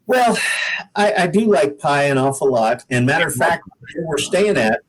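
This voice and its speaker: A man's voice: on the high side, animated, moderate pace, slight background noise, poor audio. An American man from the Pacific Northwest talking casually as an interview guest, often repeating words as he goes. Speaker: podcast guest, singer-songwriter.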